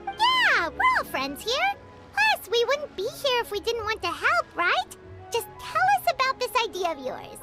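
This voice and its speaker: A woman voicing a small, eager, chatty childlike companion in a video game, with a squeaky voice. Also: wildly swooping pitch, measured pace, over music, exaggerated voice. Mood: reassuring, confident. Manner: bubbly, informal, eager.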